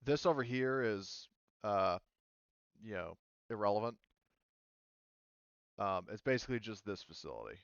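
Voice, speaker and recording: A man with a fairly low, smooth voice runs a tabletop game. He explains things casually and informally. The sound is dry and a little dull. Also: big pitch swings, a little slow, long pauses, no room sound. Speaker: game master.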